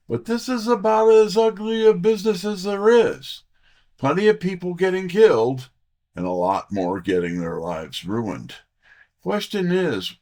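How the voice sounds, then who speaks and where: An actor playing a wry, breezy detective's assistant in an audio drama, with a theatrical, wisecracking delivery. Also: male voice, medium pitch, a little mellow. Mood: earnest. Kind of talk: full-cast audio drama, detective narration.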